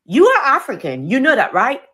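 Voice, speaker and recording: A woman's voice: sits high, huge pitch swings, normal speed, sharp tone, slightly rough. A woman from Sierra Leone with a thick Sierra Leonean accent, frankly and exasperatedly telling about her experiences. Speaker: video clip speaker. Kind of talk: personal testimony.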